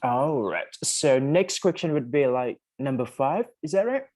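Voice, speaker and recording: A man's voice: medium pitch, expressive, a little slow, darkish tone. A man with a Thai accent running English mock interviews in an online class, encouraging students with short fillers and tag questions.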